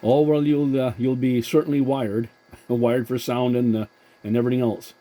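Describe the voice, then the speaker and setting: A man chatting as a CB radio operator in a courteous, halting manner. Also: mid-range voice, flat delivery, dark tone, poor audio. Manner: courteous, halting with many hesitations. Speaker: CB radio operator and channel host. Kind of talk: casual two-way radio chat.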